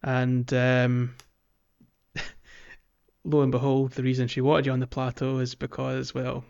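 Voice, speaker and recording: The slightly dull recording carries a man's voice of ordinary pitch. He talks as a podcast host, casually retelling a video game and feeling his way haltingly through each sentence. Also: completely flat delivery, vocal fry, a little mellow, smooth.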